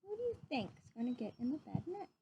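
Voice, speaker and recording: A woman's voice: sits high, big pitch swings, fast, highs cut off, background noise. A woman reading a picture book aloud to young children like a teacher, drawing out phrases and putting questions to her listeners.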